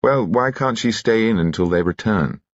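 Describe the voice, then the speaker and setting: A man's voice: lowish voice, expressive, moderate pace, no echo, lo-fi sound, voice pushed up. A man voicing a reserved, dry-humored male detective inspector. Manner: dry, exasperated.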